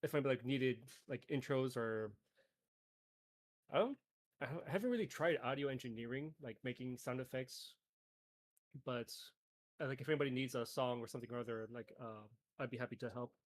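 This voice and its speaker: A man with a mid-range voice speaks casually about himself. His delivery is halting and hesitant, with frequent stops and starts. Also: medium pitch. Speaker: musician. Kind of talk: casual self-introduction.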